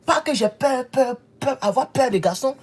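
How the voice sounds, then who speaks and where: A man's voice: very high-pitched, expressive, normal speed, husky. A man from the Ivory Coast, giving emphatic political commentary in a home video and often repeating himself. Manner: emphatic, repeats himself, rises to insistent peaks.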